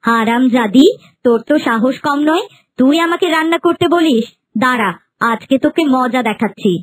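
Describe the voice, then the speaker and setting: A woman's voice: sits high, expressive, normal speed, dry recording. A woman voicing a spiteful young second wife in a cartoon, dramatic and exclamatory. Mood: scornful, angry. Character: spiteful young second wife, scolding bully turned cowering servant. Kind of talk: Bengali cartoon voice acting.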